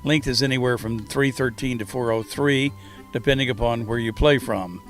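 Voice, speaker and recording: A man's voice: medium pitch, normal speed, soft background music. A man giving a scripted tour narration in the role of host of a course review.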